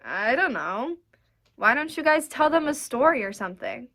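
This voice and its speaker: A woman's voice: sits high, big pitch swings, normal speed, sharp tone, full character voice. A woman playing a take-charge, wisecracking studio worker in a radio play. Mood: brisk, wry.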